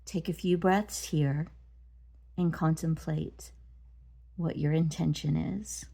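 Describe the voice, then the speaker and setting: A woman leading a guided meditation, speaking gently and deliberately in short phrases with pauses between them. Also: sits low, steady tone, vocal fry, breathy edge. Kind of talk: guided body scan meditation.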